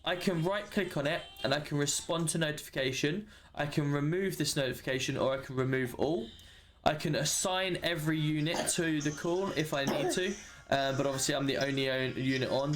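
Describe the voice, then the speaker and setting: A man giving an informal, matter-of-fact walkthrough of a game system, explaining it step by step as a tutorial. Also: a little high. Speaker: roleplay server member giving a tutorial.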